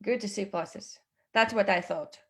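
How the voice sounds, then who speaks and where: A woman's voice: medium pitch, measured pace, a little hiss or hum. A woman from Latvia hosting an online team webinar, upbeat and chatty, with many fillers and restarts, speaking over a video call. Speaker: webinar host. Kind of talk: online team meeting presentation.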